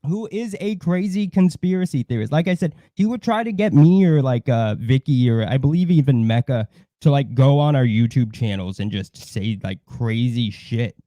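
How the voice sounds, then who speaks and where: A man in casual livestream chat, blunt and disdainful, swearing heavily and haltingly circling back over his points. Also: medium pitch, mellow, dry recording, highs cut off. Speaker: co-host of a livestream.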